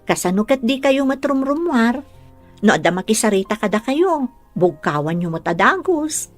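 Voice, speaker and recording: A woman's voice: fairly high pitch, sing-song, moderate pace, soft background music. An actress with a northern Philippine accent playing a cheerful, caring young female caregiver who teases and encourages in a radio drama. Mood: caring, teasing. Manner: teasing and encouraging.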